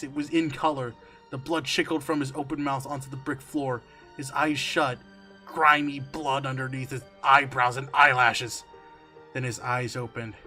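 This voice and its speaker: Bright-voiced man dramatically reading horror. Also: fairly high pitch. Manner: expressive, emphatic, swearing, breaking into amused asides. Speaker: streamer reading a horror story aloud. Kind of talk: dramatic story reading with casual asides. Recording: music under the voice. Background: background music.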